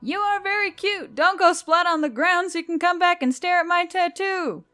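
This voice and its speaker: A woman's voice: extremely high pitch, moderate pace, pushed and bright, soft background music, pitched above the performer's own voice. Woman playing brash, teasing demon airwoman. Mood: flirty yelling. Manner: bossy, taunting, emphatic. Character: teasing, brash female oni demon aircrew member.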